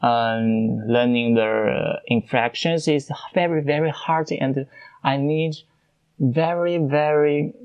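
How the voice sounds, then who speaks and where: A man hosts a solo podcast, talking off the cuff in English, his after Mandarin. He speaks slowly and haltingly, stopping to search for words and repeating himself. His voice sounds smooth and clear. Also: medium pitch, a little mellow, lo-fi sound. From China. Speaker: podcast host. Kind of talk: unscripted solo podcast talk.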